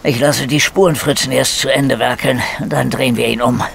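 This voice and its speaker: An actor playing a grumpy, cynical middle-aged police detective with flu in a radio crime drama, blunt and colloquial. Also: male voice, medium pitch, fairly even intonation, moderate pace, gravelly, fairly bright tone, slight background noise. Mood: brusque. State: coughing with a cold.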